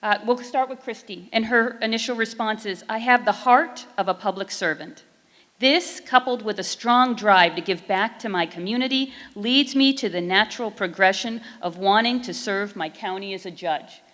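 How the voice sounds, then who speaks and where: A woman's voice: medium pitch, moderate pace, fairly bright tone. A woman moderating a candidate forum, reading out the questions politely and formally. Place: performing arts center stage. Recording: reverberant auditorium.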